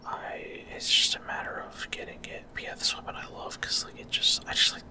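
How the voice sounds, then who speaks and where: A man whispering casual, rambling gameplay commentary for ASMR in a breathy, unvoiced whisper, often hesitant as he searches for words. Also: brisk. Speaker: gaming ASMR creator.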